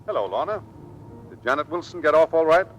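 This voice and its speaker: Animated, dutiful navy commander husband. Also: male voice, a little fast. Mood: busy, firm. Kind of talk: 1940s radio acting. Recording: noisy old radio broadcast, low rumble.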